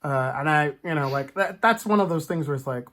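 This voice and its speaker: A man hosting a casual, animated chat about film and TV, acting out imagined reactions as he goes. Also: a little high, a little fast. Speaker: podcast host.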